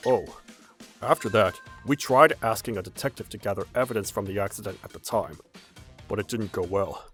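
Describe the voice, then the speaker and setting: A man playing a calm, practical, protective middle-aged father in a manga dub, explaining gravely in measured phrases. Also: fairly low pitch, soft background music, close to an everyday voice. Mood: earnest, regretful. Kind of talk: anime-style manga dub acting.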